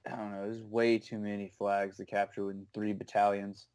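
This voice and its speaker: Casting: laid-back young male guest, lowish voice, flat, even delivery. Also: moderate pace, smooth. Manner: laid-back, plain-spoken, casual swearing. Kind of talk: casual gaming podcast chat.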